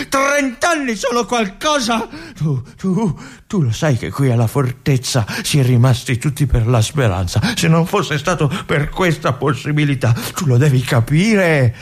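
An ailing veteran army lieutenant in a literary stage drama, forsaken and bitter. He speaks very slowly and haltingly, circling back over his own words. His brooding, yearning delivery belongs to a solitary theatrical monologue. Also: high-pitched. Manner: halting, repeating words, brooding, swinging from whispers to anguished cries.

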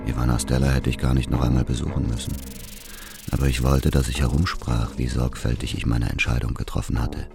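An actor in a radio drama playing a bitter, haunted middle-aged man who narrates his own story in a terse, gravelly voice. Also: little pitch movement, brisk, over music. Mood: brooding, bitter. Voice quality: very low, gravelly. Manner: terse, brooding.

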